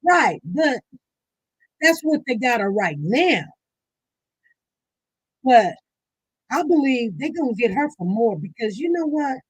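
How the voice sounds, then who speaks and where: Chatty livestream host, mid pitch, loud and animated, talks to the chat. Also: takes it slowly, long pauses, husky, poor audio. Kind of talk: casual live-stream chat.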